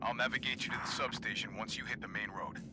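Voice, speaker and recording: An actor as a breezy, cocky soldier. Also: male voice, mid-range voice, steady tone, quick pace, noisy background, natural voice. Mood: casual, teasing. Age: young adult. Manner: casual, breezy, teasing. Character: laid-back, cocky young male mercenary soldier. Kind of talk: video game voice acting. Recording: loud music over dialogue.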